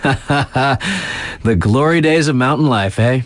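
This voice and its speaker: A young, easygoing snowboard-town radio DJ for a video game, a man with a North American accent. His delivery is casual and wry, with drawn-out emphasis and lively swings in pitch. The voice sits in a medium male register and sounds close and dry. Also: no room sound. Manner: casual, tongue-in-cheek, drawling emphasis. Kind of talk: scripted radio DJ patter.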